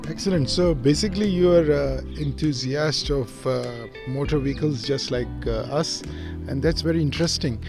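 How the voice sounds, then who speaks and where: Man, interview host, hesitant with lots of fillers, slightly high voice, clear music under the speech. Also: normal speed.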